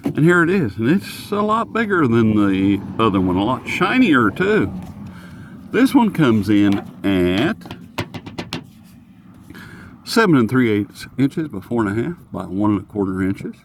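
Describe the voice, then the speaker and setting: A man's voice: medium pitch, very animated, measured pace. A man chats through a casual product review with lots of rise and fall in his voice. His manner is folksy and jokey, and he rambles along unscripted. There is faint noise and some clicking in the recording. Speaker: host of radio review videos.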